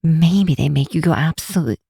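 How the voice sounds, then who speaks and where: A woman's voice: sits low, moderate pace, vocal fry, mellow, slightly rough, no room sound, studio quality, heavily acted. A woman playing a cynical, sardonic but secretly affectionate grinch girl in an ASMR roleplay, drawing out words for emphasis. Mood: cynical ranting. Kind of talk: ASMR roleplay, scripted acting to the listener.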